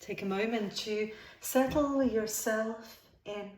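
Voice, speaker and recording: A woman leading a guided yoga nidra relaxation as the instructor, speaking in soothing, unhurried phrases with pauses. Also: fairly high pitch, darkish tone. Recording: roomy, echoing sound.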